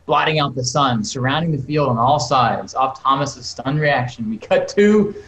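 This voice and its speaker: A man's voice: medium pitch, expressive, normal speed, fairly bright tone. Dramatic male narrator in a roomy space. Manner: dramatic, emphatic storytelling. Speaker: film director reading stage directions. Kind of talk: scripted narration read aloud. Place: indoors, on a video call. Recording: roomy video call sound.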